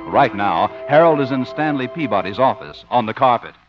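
A man's voice: mid-range voice, animated, normal speed. A man announcing a 1950s radio show, reading scripted narration with polished, dramatic emphasis. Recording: muffled vintage radio, music behind.

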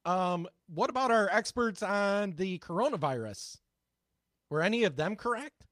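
Blunt, high-voiced male podcast co-host. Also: animated, normal speed, a bit bright, no room sound. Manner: blunt, profane, sarcastic commentary. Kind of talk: casual political chat.

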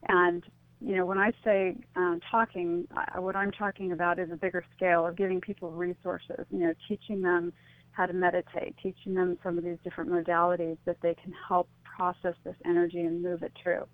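A woman's voice: fairly low pitch, level tone, fairly quick, no echo. A woman being interviewed on radio over a narrow phone-like line, explaining her views earnestly in a conversational way. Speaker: interview guest, author.

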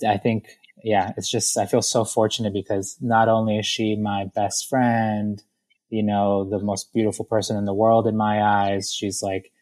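A man in his thirties from Ecuador, a podcast guest talking thoughtfully and softly, with frequent fillers and restarts. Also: on the low side, little pitch movement, normal speed. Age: 30s. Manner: thoughtful, soft-spoken, many fillers and restarts. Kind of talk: reflective conversational interview.

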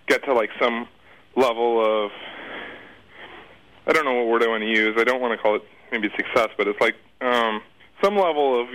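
A man's voice: lowish voice, a bit bright, low-quality recording. Rambling, informal man in a casual interview. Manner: rambling, hesitant, informal and slangy. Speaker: interview guest, internet marketer.

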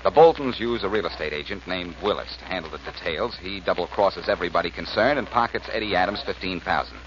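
An American man playing a quick-witted, commanding detective with a brisk, wisecracking manner in 1940s radio drama. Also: low-pitched, close to an everyday voice. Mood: urgent, confident. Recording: muffled, noisy old radio recording.

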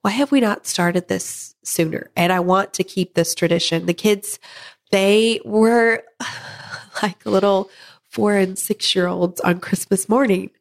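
Hoarse woman hosting a chatty podcast. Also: medium pitch, fairly even intonation, slow pace. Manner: chatty, laughs often. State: hoarse from a head cold. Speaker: podcast host. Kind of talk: casual podcast chat.

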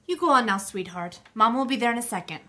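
A woman's voice: a little high, lively intonation, quick pace. A woman playing a firm, tender young mother. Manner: firm, gentle maternal.

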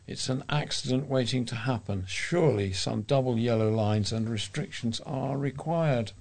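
British man reading aloud, mid-pitched voice. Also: normal speed, gravelly, darkish tone. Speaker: volunteer newspaper reader. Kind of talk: reading letters aloud for a talking newspaper.

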